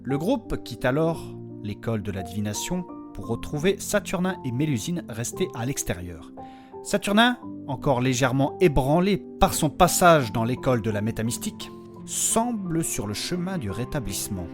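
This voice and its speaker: A man's voice: medium pitch, big pitch swings, moderate pace. A man narrating a fantasy audio drama recap in a portentous, theatrical style. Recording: loud music under the voice. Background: guitar music.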